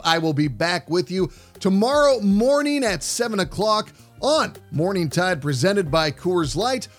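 A man with a somewhat high-pitched voice speaks as a sports radio host. He delivers commentary and interview questions in a broadcast style.

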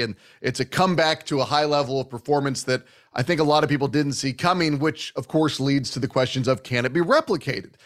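A man's voice: mid-range voice, fairly bright tone. A man with a North American accent hosting a sports show, giving casual conversational analysis.

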